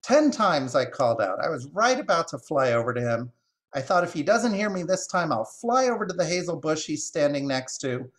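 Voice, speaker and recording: A man's voice: a little high, big pitch swings, brisk, fairly bright tone, voice pushed up. A man voicing an agitated, self-important magpie with a cackling, insistent delivery in a storybook reading.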